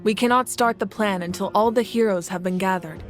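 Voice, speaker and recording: A woman voicing a wise, composed female ruler in a video game, speaking in a measured, formal and earnest way. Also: medium pitch, natural voice. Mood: grave, resolute. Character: composed, noble adult female fire ruler, wise and reassuring. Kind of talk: scripted video game voice acting. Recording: music behind the voice.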